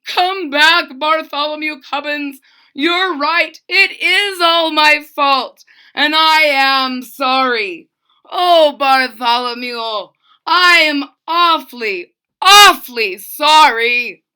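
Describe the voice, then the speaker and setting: A woman's voice: high-pitched, slow pace, sharp tone, voice pushed up, heavily acted. A woman voicing a blustering, proud old male king in a storybook, groaning and bellowing his lines. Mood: tearful.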